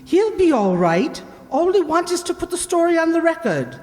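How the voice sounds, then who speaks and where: A brisk, businesslike female official acted by a woman in an old-time radio drama. She sounds soothing, with a high, swooping voice that echoes through a hall. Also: sing-song, a little mellow. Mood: reassuring. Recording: echoey hall stage performance.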